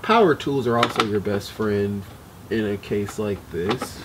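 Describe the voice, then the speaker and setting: A man's voice: fairly low pitch, a little slow, heavy background noise. A young man in a casual how-to vlog, talking through a car repair with lots of ums and restarts while he works outdoors at night. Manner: rambling, many ums and restarts. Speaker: DIY car repair vlogger. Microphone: camera mic, moves around while working.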